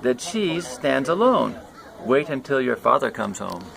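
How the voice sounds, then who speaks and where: A man's voice: medium pitch, sing-song, creaky, a crowd in the background, exaggerated voice, rough recording. Older man doing a scolding storybook mother. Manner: firm, drawn-out, shushing.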